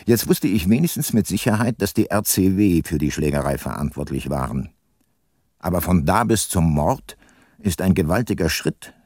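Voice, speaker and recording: A hard-boiled older detective in a radio drama, who speaks in a low register with widely moving intonation. He is terse, dry and cunning, pressing people hard. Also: animated, moderate pace, darkish tone, breathy edge. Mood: dry narration. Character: tough, cunning aging male private detective, hard-nosed. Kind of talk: radio drama narration and dialogue.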